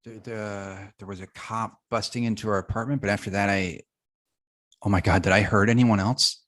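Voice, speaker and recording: A man in a comedy table read playing a dazed, anxious man, halting and bewildered. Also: fairly low pitch, steady tone, a bit bright, lightly acted. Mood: dazed, alarmed. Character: dazed, anxious man waking from a werewolf-like curse.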